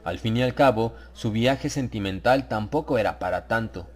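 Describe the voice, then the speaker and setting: Measured male horror audiobook narrator. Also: on the low side. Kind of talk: dramatized scripted horror narration.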